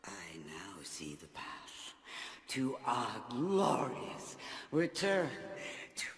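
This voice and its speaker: A man voicing a sinister, scheming animal villain in an animated film, breathy and whispery, in an echoing space. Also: mid-range voice, drawn-out words, full character voice. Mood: menacing, triumphant. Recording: echoey, long reverberant hall.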